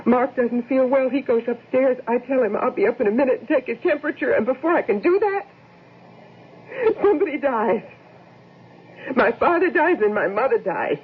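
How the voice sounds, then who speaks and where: A woman's voice: a little high, animated, normal speed, breathy edge. A woman playing a fragile, grieving wife, anguished and pleading, in a 1970s radio drama. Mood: distraught. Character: distraught grieving wife and daughter, fragile, clinging. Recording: lo-fi old radio broadcast, faint noise.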